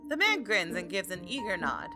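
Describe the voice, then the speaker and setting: A woman reading a visual novel game aloud in an expressive, theatrical storytelling style, with casual asides. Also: fairly low pitch, moderate pace, music in the background.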